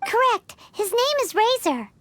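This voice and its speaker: A woman voicing a tiny, cheeky, blunt childlike female fairy in a video game, with a squeaky voice. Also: very animated, unhurried, a bit bright, slight background noise, heavily acted. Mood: blunt, curious. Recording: game music under the voice.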